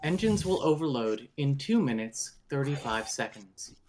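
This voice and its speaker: A woman's voice: a bass voice, big pitch swings, moderate pace, darkish tone. A woman playing a spaceship computer in a table read, making formal, impassive warning announcements. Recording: faint background noise, clicks.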